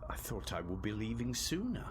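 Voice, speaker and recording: Clear background noise surrounds a young gentleman captive in a radio drama. His voice is low, and he speaks with earnest, literary care and an anxious undercurrent. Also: sing-song. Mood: apprehensive. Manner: earnest, literary, measured narration. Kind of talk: radio drama narration and dialogue. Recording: noisy recording with ambient sound.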